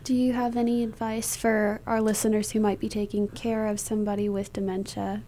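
A woman interviewer putting open questions to guests in a gentle style. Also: medium pitch, level tone, a little fast, a little hiss or hum.

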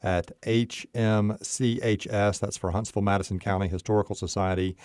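A man's voice: fairly low pitch, a little mellow, smooth, no echo. A man hosting a conversational local radio interview, with frequent uhs as he phrases things. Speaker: radio show host.

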